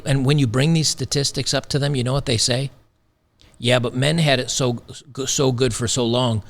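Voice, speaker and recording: Male commentary host, gravelly, slightly worn voice, emphatic and sarcastic. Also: medium pitch. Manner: emphatic, repeats phrases, sarcastic. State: slightly losing his voice. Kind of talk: opinionated commentary.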